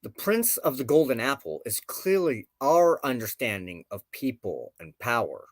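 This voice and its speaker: A man hosting a show, giving reflective commentary on folklore and myth with deliberate, emphatic phrasing. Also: medium pitch, very animated, no room sound, studio quality. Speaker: show host.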